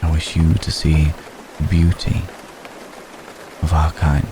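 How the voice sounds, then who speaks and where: Very deep, slow ancient vampire played by a man. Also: noisy background. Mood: earnest, confiding. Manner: hesitant, measured, formal phrasing with long pauses. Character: ancient gentle male vampire, protective, courtly, tender. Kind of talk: slow intimate ASMR roleplay monologue. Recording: close recording with steady rain ambience.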